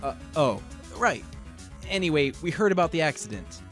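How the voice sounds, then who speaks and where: A man's voice: fairly high pitch, sing-song, moderate pace, fairly bright tone, soft background music. A man voicing an earnest young defence lawyer, hesitant at times and assertive at others, in an amateur game fan dub.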